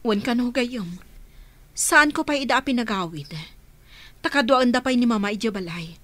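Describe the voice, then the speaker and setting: A woman's voice: on the high side, lively intonation, normal speed, fairly bright tone, no room sound. A woman acting in a radio drama as Flora, a caring woman who keeps asking questions of the orphaned children. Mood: earnest. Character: caring woman named Flora, recently returned, concerned for orphans.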